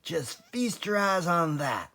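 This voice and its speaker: A cunning storybook father fox, played by a man, excitable and bossy. He relishes every word and swings through a lively range of pitch. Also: slow, heavily acted. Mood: gleeful. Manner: exclamatory, relishing, commanding.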